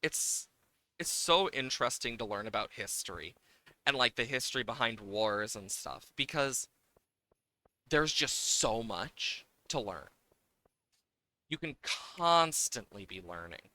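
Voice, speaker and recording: A man's voice: medium pitch, expressive, measured pace, bright, forceful tone. A man chatting casually and enthusiastically as he streams a game, rambling from thought to thought. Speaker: game livestream host. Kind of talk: casual chat over gameplay, reading game dialogue aloud. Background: video game music.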